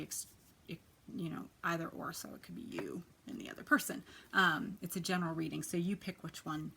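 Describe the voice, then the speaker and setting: A soft-spoken woman with a quiet voice, chatting casually as she gives a tarot reading, often dropping to a near whisper. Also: on the low side, slight background noise. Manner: chatty, many fillers, drifts to near-whisper. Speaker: tarot reader. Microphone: quiet home recording.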